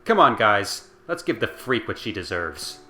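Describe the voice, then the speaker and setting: A man voicing a cruel village bully boy who sneers and shouts. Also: on the low side, big pitch swings, moderate pace. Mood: sneering.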